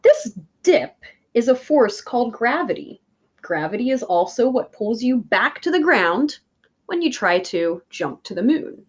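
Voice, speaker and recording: Female children's read-aloud voice, unhurried, very expressive intonation, emphatic teacher delivery. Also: a little high. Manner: deliberate, emphatic phrasing addressed to young listeners. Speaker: teacher reading a picture book aloud.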